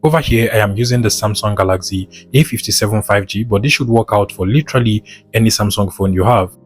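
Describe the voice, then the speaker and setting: A man with a fairly low voice presents a tech how-to as a voice-over. He walks through each step methodically, in an instructional way. Music plays behind him. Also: quick pace. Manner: methodical, instructional, repeats set phrases. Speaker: tutorial host.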